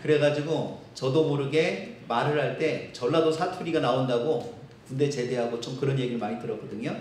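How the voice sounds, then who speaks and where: Seoul-accented pastor in an echoey church. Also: male voice, medium pitch, darkish tone, slight background noise. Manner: conversational, with filler pauses. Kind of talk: sermon. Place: church sanctuary.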